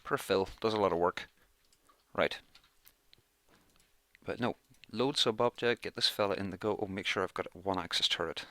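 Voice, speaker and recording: Bright-voiced man doing game commentary. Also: low voice, normal speed, long pauses, dry recording. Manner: chatty, thinks aloud while playing. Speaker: gaming YouTuber, let's play host. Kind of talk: casual let's play commentary.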